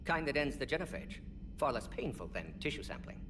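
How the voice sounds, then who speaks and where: An actor playing a brisk, fussy male alien doctor in a video game, speaking in precise, clipped fragments. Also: medium pitch, big pitch swings, close to an everyday voice. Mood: brisk, irritated. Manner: clipped telegraphic phrasing, rapid-fire, precise. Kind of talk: video game character acting. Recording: noisy game ambience, distant voice.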